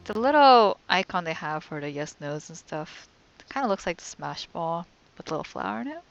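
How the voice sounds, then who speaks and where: A young woman streams a video game in a fairly low voice full of creaky fry. She comments casually and often murmurs to herself as she goes. Faint music plays behind her. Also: sing-song, fairly quick, dull audio. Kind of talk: casual let's play commentary.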